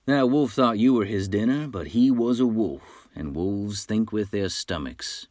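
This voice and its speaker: A man putting on a bragging frontier wolf hunter who never stops talking, his voice pushed up higher and louder than the narration, folksy and brash. The recording is dry and close, with no room around it. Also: lively intonation, unhurried, dry recording. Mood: boastful. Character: boastful talkative male wolf hunter, adult.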